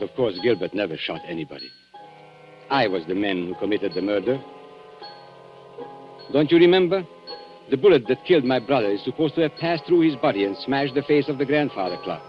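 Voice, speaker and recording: Man playing suave killer in lively 1940s radio thriller. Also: mid-range voice, close to an everyday voice. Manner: smooth, mock-polite, theatrical. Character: suave, coolly calculating antique dealer, a confessed killer. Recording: dull 1940s radio recording with music.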